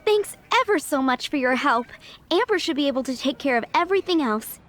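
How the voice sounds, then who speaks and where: A woman's voice: sits high, expressive, fairly quick, fairly bright tone, a little hiss or hum. A woman playing a friendly, polite townswoman in an anime fantasy game, with music behind her. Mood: grateful. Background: background music.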